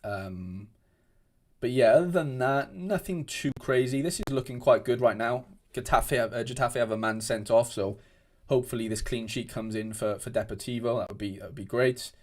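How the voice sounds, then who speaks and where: A man's voice: medium pitch, moderate pace, a little mellow. A young man from Wales, chatting casually on a livestream at his desk, rambling with frequent restarts while answering viewer comments. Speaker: streamer. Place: indoor home room at a desk.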